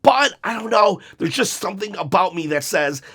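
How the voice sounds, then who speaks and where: A man hosting a wrestling opinion show, chatting casually with jokey asides, his voice slightly hoarse from being sick. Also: high voice, expressive, normal speed, fairly bright tone. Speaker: wrestling commentary host.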